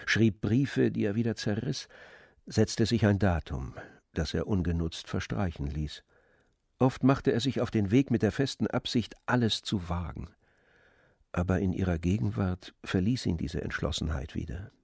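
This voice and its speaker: A man narrating a literary audio drama in measured, polished storytelling phrases. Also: lowish voice, gravelly, a little mellow, husky, dry recording, studio quality.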